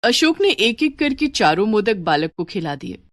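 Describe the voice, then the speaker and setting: Clear, steady woman narrating a story. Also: mid-range voice, moderate pace, no echo.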